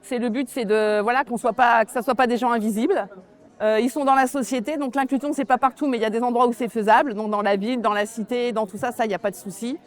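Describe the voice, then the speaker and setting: A woman's voice: on the high side, steady tone, fast, some chatter behind. A woman in a casual unscripted interview, talking in short, choppy, repeated phrases. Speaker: visitor, a mother.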